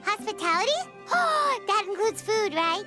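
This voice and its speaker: Super high squeaky little fairy sidekick for a game, excitable n bouncy pitch, loud music behind. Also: female voice, a little slow, exaggerated voice. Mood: eager, delighted. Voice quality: squeaky, childlike. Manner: refers to self in third person, excitable. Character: small floating childlike female companion, eager, food-loving. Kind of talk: video game character acting.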